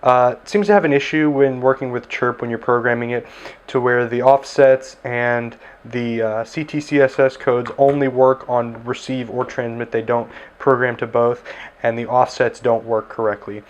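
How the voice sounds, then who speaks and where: Man, tech reviewer, casual desk talk, middle pitch, slightly dull audio. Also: level tone, moderate pace. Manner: easygoing, frequent "uh" fillers. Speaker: tech reviewer, ham radio operator. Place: indoors at a desk.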